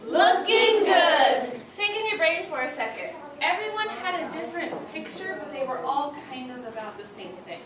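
High-voiced woman teacher in an echoey classroom. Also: animated, moderate pace, few pauses. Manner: encouraging, instructing in simple short phrases. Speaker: elementary school teacher. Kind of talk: classroom read-aloud lesson for young children. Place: school classroom, indoors. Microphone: far camera. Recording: echoey classroom, distant camera, loud room noise.

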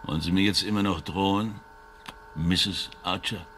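An actor playing a gruff, threatening older male boss in an audio thriller. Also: deep voice, fairly even intonation, fairly bright tone, a little hiss or hum. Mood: cold, threatening. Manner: coarse, menacing, deliberate. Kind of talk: German audio thriller acting.

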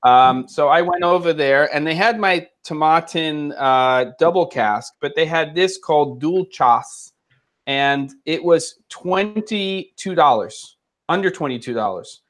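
A man's voice: a little high, measured pace. Chatty male host on a video call. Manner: relaxed, chatty and joking. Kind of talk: casual chat on a video call. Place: home room with curtains and lamp.

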